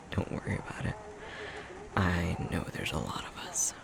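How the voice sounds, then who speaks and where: A man playing a tender, self-conscious young fox-shifter boyfriend in an intimate ASMR roleplay, speaking in a soft, breathy, halting murmur. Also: low-pitched, huge pitch swings, noisy background, heavily acted. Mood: wry, reminiscing. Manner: unhurried, halting, self-deprecating chuckly storytelling.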